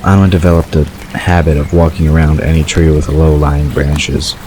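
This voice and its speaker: Male narrator, extremely low voice, measured scripted storytelling, noticeable background ambience. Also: level tone, mellow. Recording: clear background ambience noise.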